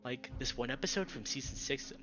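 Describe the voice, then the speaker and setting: A man's voice: medium pitch, fairly quick, lo-fi sound, lightly acted. A man voicing a nerdy, earnest male salamander writer in a visual novel. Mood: earnest, enthusiastic. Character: nerdy male salamander sci-fi writer, defensive but enthusiastic. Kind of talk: visual novel character dialogue.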